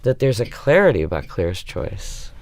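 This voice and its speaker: A man's voice: on the low side, very animated, normal speed. A man on a discussion panel, speaking reflectively and a little tentatively, checking for agreement as he goes.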